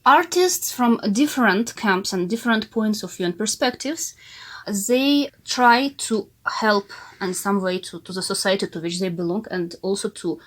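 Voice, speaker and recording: A woman's voice: mid-range voice, animated, moderate pace. A young adult woman from Ukraine with a Ukrainian accent, a native Russian speaker, speaking in a remote interview with frequent "uh" fillers and restarts. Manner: hesitant, many "uh" fillers and restarts. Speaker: guest, art historian and curator. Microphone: remote call.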